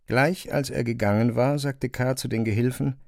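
A man's voice: mid-range voice, steady tone, normal speed, gravelly, no echo. A man narrating a classic novel as a scripted literary reading, close to a studio microphone.

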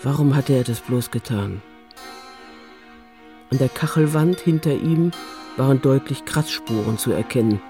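A man's voice: medium pitch, measured pace, long pauses, warm tone, faint music behind. A man narrating a radio drama from a script as storyteller.

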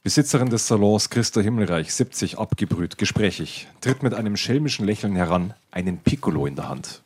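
A man's voice: on the low side, animated, fairly quick, a little mellow, husky. A man reading out the scripted stage directions of a radio play.

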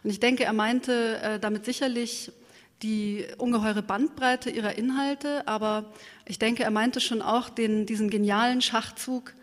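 A woman's voice: a little high, steady tone, unhurried. A woman moderating an interview, putting long, articulate, considered questions with some hesitation. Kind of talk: prepared interview questions, spoken live. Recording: long hall reverb.

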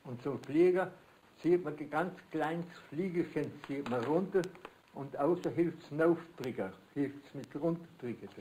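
High-voiced older Swabian man explaining. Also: unhurried, mellow, a little hiss or hum, rough recording. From southern Germany. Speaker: amateur inventor. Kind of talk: informal spoken explanation.

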